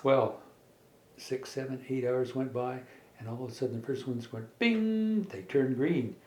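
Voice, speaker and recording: A middle-aged man telling a made-up children's story in a halting, folksy way, repeating words as he goes. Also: medium pitch, a little mellow. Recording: echoey room with faint background noise.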